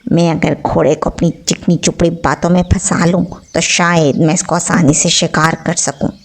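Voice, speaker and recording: A woman voicing a sly, hungry male wolf in a children's story, scheming and full of smooth flattery. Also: lowish voice, moderate pace, pitched below the performer's own voice.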